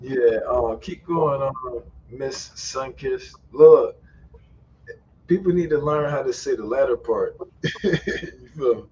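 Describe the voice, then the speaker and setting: A man's voice: medium pitch, takes it slowly, long pauses, a little mellow, breathy edge, highs cut off. A man hosting a casual online voice chat, slangy and loose, swearing often and laughing a lot. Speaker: host. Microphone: online call mic.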